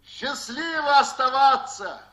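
A man's voice: very high voice, lively intonation, slow, bright, forceful tone, exaggerated voice. An actor playing a chatty, folksy telephone repairman in a 1960s Soviet radio play, chuckling and rambling. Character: chatty male repairman phoning from a cold forest road.

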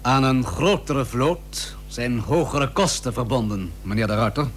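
A man playing a haughty, argumentative admiralty gentleman in a historical radio drama, formal and pressing. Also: mid-range voice, unhurried, close to an everyday voice. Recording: noisy old radio recording with hum.

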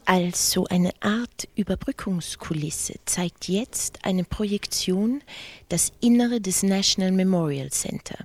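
A television news anchor in a radio play. Her voice is medium in pitch and closely, dryly recorded, with composed descriptive commentary. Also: measured pace, no echo. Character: studio news anchor describing images to the audience.

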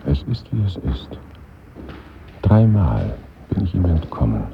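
A gravelly, very deep dead man. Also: very animated, a little slow, full character voice. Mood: bitter, anguished. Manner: brooding monologue to absent listeners. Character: dead man at his own funeral, bitter, weary, anxious. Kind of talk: German radio play acting. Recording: muffled phone-like sound, strong background noise.

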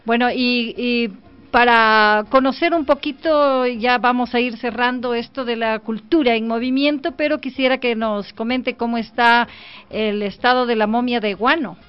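Smooth-voiced woman radio host. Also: a little high, steady tone, highs cut off. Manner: brisk, announcer-like, closing formulas. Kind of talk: radio interview questions.

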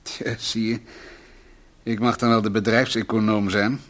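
A man's voice: on the low side, little pitch movement, measured pace, a bit bright. A man playing a worried, earnest husband in a radio play, a brooding company economist given to rhetoric. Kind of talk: radio play acting, domestic dialogue.

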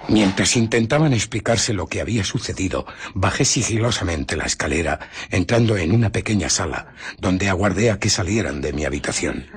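Griffin, deep husky furtive scientist. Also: male voice, animated, brisk, full character voice. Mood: furtive, hushed. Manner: dramatic, hushed and theatrical, dropping to whispers. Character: Griffin, furtive, embittered invisible scientist recounting his escape. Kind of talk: radio drama narration.

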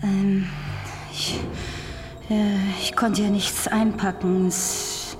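An actress playing a confused, traumatised psychiatric patient in a radio drama, stammering and repeating words. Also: female voice, mid-range voice, flat delivery, extremely slow. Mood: confused, anxious.

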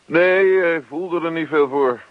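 A man's voice: on the high side, slow pace, no room sound. An actor playing a grumpy, put-upon middle-aged man in a radio play, grumbling and muttering reluctant asides about his neighbour. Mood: forced politeness. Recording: dull lo-fi radio play recording.

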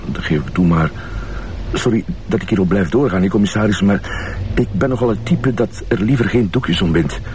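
An actor playing an earnest, insecure police deputy in a TV crime drama, polite and hesitant, with a Flemish accent. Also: male voice, on the low side, fairly quick, a little mellow, recorded from a distance, a little hiss or hum, lightly acted. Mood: hurt, apologetic. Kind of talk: naturalistic TV crime drama acting.

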